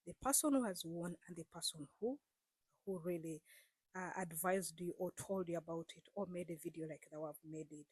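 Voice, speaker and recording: A woman's voice: on the low side, fairly quick, a little mellow, slightly rough, no echo. A woman vlogger speaking straight to her viewers in a casual, earnest way, repeating herself and coaxing them with frequent fillers. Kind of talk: casual direct-to-camera explainer.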